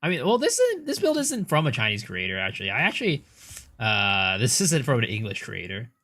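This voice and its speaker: Lively man chatting on stream. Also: medium pitch, brisk. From United States. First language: Cantonese. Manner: rambling, reads chat aloud, swears casually. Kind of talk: casual live-stream chat while gaming.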